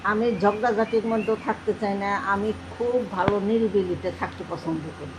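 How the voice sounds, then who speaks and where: Older Bangladeshi female interviewee, mid-pitched, insistent conversational objections, faint noise behind. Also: steady tone, moderate pace. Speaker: interviewee, wife. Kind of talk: conversational, disputing account.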